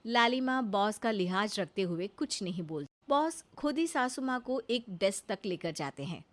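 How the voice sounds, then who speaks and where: A woman's voice: mid-range voice, lively intonation, moderate pace. A woman narrating a scripted story as a storyteller.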